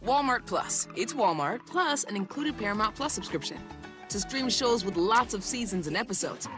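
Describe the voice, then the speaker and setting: A woman's voice: mid-range voice, lively intonation, normal speed, over music. A woman reading scripted narration for a commercial. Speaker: advertisement announcer.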